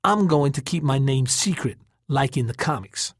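Earnest, hot-tempered young man, acted by a male narrator, lifted pitch, insistent and defiant storybook delivery. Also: lively intonation, normal speed, no echo, studio quality, close to an everyday voice. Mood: determined. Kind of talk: audiobook character dialogue.

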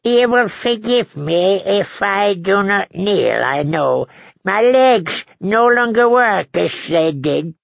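A man's voice: high-pitched, expressive, slow, creaky, fairly bright tone, no room sound, muffled recording, pitched above the performer's own voice, exaggerated voice. A man voicing a leering, toothless, very old lord in a strained aged voice for an audiobook. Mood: lewd, mocking.

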